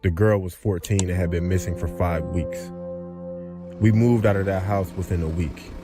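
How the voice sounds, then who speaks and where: A man's voice: low-pitched, fairly even intonation, normal speed, music in the background. A man narrating a scripted horror story.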